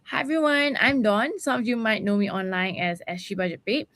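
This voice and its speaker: A woman's voice: mid-range voice, a little fast, a bit bright, no echo. A woman from Singapore, a personal finance educator, introducing herself in a composed, matter-of-fact way.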